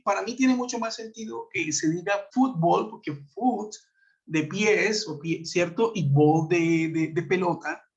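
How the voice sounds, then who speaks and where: A man from Colombia with a Colombian Spanish accent, teaching English in a web conference lesson and explaining with many fillers and tag questions. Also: high voice. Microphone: computer mic on a video call. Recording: muffled lo-fi web call audio.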